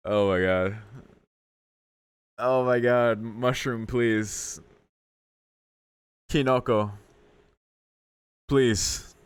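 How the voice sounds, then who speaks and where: A man's voice: fairly low pitch, clean tone. A man doing casual gameplay commentary as a video host, reacting with exclamations and mock exasperation.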